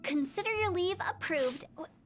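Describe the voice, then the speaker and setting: A woman's voice: very high voice, expressive, moderate pace. A woman voicing a playful, eccentric teenage girl funeral director in a video game, girlish and breezy. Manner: impish, breezy. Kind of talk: video game character acting. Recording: game dialogue with music underneath.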